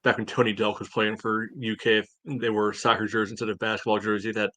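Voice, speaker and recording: A man co-hosting a sports podcast, talking casually in a halting, conversational way. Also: fairly low pitch, level tone, sharp tone, dry recording. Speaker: podcast co-host.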